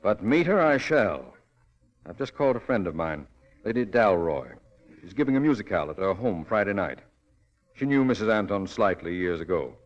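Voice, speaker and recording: An urbane, genial detective in a vintage radio mystery. His low voice is lively and well-mannered, on a slightly dull old recording. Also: normal speed. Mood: genial, determined. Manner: urbane, polite. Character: genial, determined Scotland Yard detective, well-mannered gentleman. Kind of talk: old-time radio drama acting.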